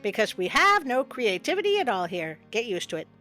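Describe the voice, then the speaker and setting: A woman voicing a blunt old man from a storybook, deadpan as she gives instructions. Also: on the high side, fairly quick, soft background music. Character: blunt old man giving strange directions. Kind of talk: storybook character reading.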